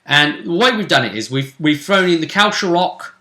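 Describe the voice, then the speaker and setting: Bright-voiced man hosting in an echoey room. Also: a little high, lively intonation, normal speed. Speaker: host and game master of a tabletop role-play recap. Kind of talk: casual recap discussion. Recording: roomy, echoey sound.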